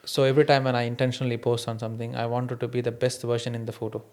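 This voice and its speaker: A man's voice: medium pitch, flat delivery, rapid-fire, darkish tone. A man with an Indian accent, a non-native English speaker, talking candidly and reflectively about his own experiences as a podcast host. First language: an Indian mother tongue, not English. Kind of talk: candid conversation, personal anecdotes.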